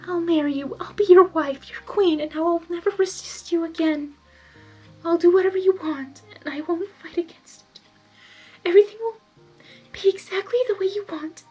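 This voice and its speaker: A woman voicing a gentle, self-sacrificing female pony in a storybook, with a soft, breathy, pleading manner. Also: very high-pitched, moderate pace, faint music behind, pitched above the performer's own voice, poor audio. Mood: resigned, sorrowful.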